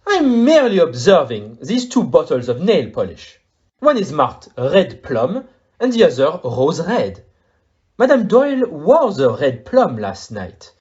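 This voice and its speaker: Man as fussy French-accented gentleman detective, audio play. Theatrical, courteous, wildly swinging intonation, slightly muffled recording. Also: fairly high pitch, wildly swooping pitch, a little slow. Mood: fussy, observant. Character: fussy, theatrical middle-aged male French-speaking detective.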